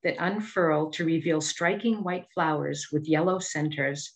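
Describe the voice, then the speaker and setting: Female presenter, middle-aged, Boston accent, lowish voice, measured scripted delivery for an educational slide talk on video call. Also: slight background noise, poor audio. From Boston area, United States. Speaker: master gardener giving a presentation.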